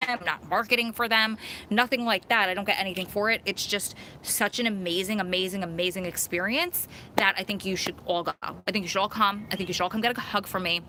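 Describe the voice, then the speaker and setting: A woman in her thirties with a thick New York–New Jersey accent, chatting through a live stream. Her voice is bright and forward. She laughs easily and pokes fun at herself between comments. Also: mid-range voice, steady tone, fast, slight background noise. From Long Island, New York. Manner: chatty, laughs often, self-mocking. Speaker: vlogger hosting a live Q&A. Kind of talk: casual live-stream chat reading comments.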